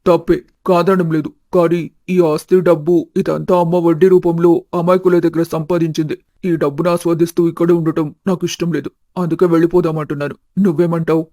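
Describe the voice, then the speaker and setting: A man voicing a sincere, gentle young husband in an animated family story, earnest and subdued. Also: fairly high pitch, brisk, few pauses, no room sound, studio quality, natural voice. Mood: troubled. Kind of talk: animated family drama dialogue.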